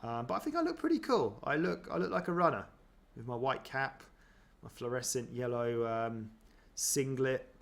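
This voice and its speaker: A man's voice: medium pitch, expressive, moderate pace, creaky, hardly any pauses. A man chatting casually as he reacts to footage of himself, self-deprecating, with many ums and restarts. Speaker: running vlogger.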